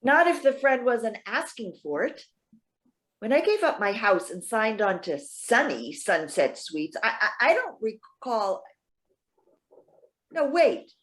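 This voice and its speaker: A woman playing a lonely, proud elderly lady in a stage reading, halting and questioning with wounded politeness. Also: a little high, very animated, a bit bright, heavily acted. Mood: indignant, hurt. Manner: halting, stammering, emphatic questioning. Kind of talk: readers theater stage acting.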